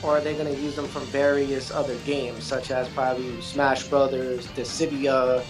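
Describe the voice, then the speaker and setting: A man's voice: mid-range voice, flat delivery, normal speed, low-quality recording. A man speaking as a guest in a casual discussion, in an informal, conversational way. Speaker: guest panelist. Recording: voice under clearly audible music. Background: music.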